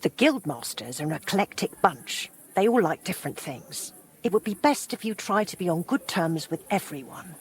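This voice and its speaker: A middle-aged woman playing a warm, helpful widowed townswoman in a medieval video game, with a husky voice. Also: on the low side, big pitch swings, moderate pace. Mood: friendly, obliging. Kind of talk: video game character dialogue.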